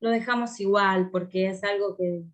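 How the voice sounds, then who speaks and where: A woman's voice: medium pitch, steady tone, moderate pace. A woman in her fifties from Italy, speaking Spanish with an Italian accent and some Buenos Aires flavour, reflecting hesitantly with many fillers as a guest writer on a video call. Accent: Italian, living in Buenos Aires. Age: 50s. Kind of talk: thoughtful conversation in a writing workshop.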